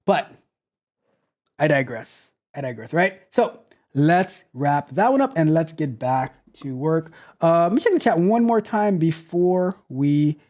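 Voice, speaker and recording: A man in his thirties hosting a casual livestream from a home studio on a close boom mic, rambling, repeating himself and joking. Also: expressive, a little slow, a little mellow. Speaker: host, tech coach. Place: home studio, seated in gaming chair. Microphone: boom mic close to mouth.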